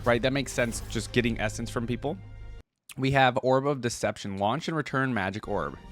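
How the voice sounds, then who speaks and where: A man's voice: mid-range voice, normal speed, faint music behind. A man hosting a reaction video, commenting casually on a game and thinking aloud in an informal way. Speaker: reaction video host, gamer.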